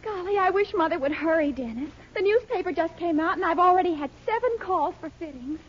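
Very high-voiced, excitable young girlfriend. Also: a little fast, loud music behind the voice. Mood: excited, adoring. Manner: gushing, excitable. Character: eager, bossy, adoring young girlfriend. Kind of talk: 1940s radio sitcom acting. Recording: muffled, noisy 1940s radio with audience.

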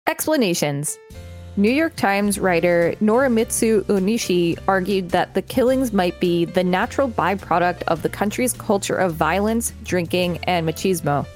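A woman's voice: mid-range voice, expressive, moderate pace, a little mellow, faint music behind. A woman co-hosting a podcast, reading articles aloud with casual remarks. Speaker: podcast co-host.

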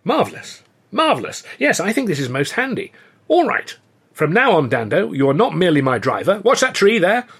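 A man's voice: high voice, wildly swooping pitch, fairly quick, gravelly, a bit bright, breathy edge, voice pushed up, heavily acted. A man voicing a weary, harried upper-class army captain with clipped officer's speech. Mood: brisk.

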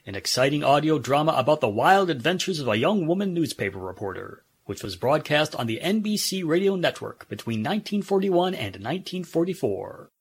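Husky, animated male presenter. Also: on the high side, brisk, hardly any pauses. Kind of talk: scripted introduction.

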